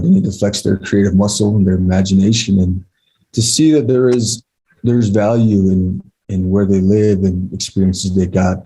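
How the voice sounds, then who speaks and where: Very dark, husky, low-voiced man. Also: rough recording. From Navajo Nation, Utah, United States. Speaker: co-founder giving thanks as guest speaker. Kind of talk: informal, heartfelt remarks.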